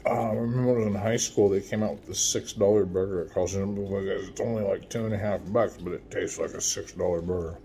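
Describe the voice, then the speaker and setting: A man in his forties doing a casual food review at home, chatty and relaxed, filmed on a phone at arm's length while eating. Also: deep voice, quick pace, hardly any pauses, slight background noise. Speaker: food vlogger. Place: small room at home, window blinds. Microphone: phone camera at arm's length.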